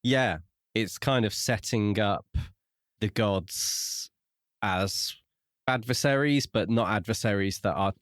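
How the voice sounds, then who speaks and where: A man co-hosting a podcast, explaining a book in a measured, conversational way. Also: fairly low pitch, fairly bright tone, no room sound, clean studio sound. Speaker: podcast co-host. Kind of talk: casual book discussion.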